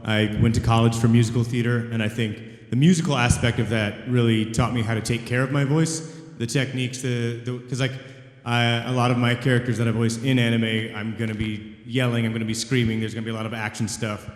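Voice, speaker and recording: A man speaks in an even, flat tone on a convention panel and sounds earnest. His voice carries through a large hall with a long, roomy reverb. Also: medium pitch, a little fast. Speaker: voice actor on a panel.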